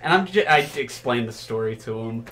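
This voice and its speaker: A man's voice: medium pitch, animated, a little fast, fairly bright tone. A man telling comic anecdotes casually and animatedly, acting out the dialogue and swearing crudely. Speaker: storyteller on a comedy chat show.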